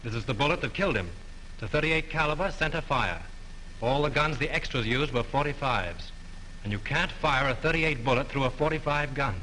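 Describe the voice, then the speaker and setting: An actor playing a wry amateur sleuth writer. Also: male voice, mid-range voice, a little fast, sharp tone, slight background noise, natural voice, rough recording. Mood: breezy. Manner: wry, breezy, offhand. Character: wry breezy young male writer sleuth. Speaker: scenario writer. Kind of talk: 1930s film acting.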